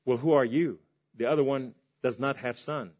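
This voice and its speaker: A man's voice: mid-range voice, normal speed. Impassioned male preacher from Lebanon. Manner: impassioned, swings between loud and hushed. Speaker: preacher giving testimony. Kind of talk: testimony sermon.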